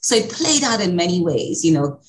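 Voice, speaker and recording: A Kenyan-accented woman with a slightly low voice speaks as an interview guest. She talks conversationally and reflectively, telling her story. There is faint noise in the background. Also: big pitch swings, normal speed, reverberant. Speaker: interview guest, executive director.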